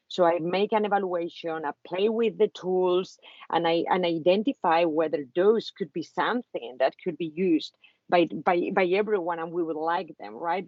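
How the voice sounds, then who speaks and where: A woman's voice: lowish voice, dry recording. A woman from Spain with a Spanish accent, speaking as a guest on an online talk for students about her venture capital work, with many fillers and restarts. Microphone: video call. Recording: muffled video call audio.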